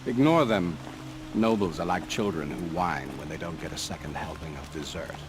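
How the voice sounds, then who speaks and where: A man playing a courtly royal advisor in a video game, speaking in a formal, wry, measured way. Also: sits low, over loud music, lightly acted. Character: smooth, courtly male spymaster advisor to a king. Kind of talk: video game cutscene acting.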